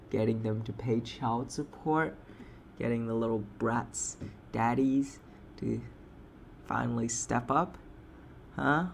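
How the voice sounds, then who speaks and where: A man plays a cruel, self-satisfied male landlord in a satirical audio roleplay. His middle-pitched voice is full of fry as he talks down to a tenant with taunts and mocking interjections. A little background noise sits under the recording. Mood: sneering. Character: smug, cruel landlord sneering at a struggling tenant.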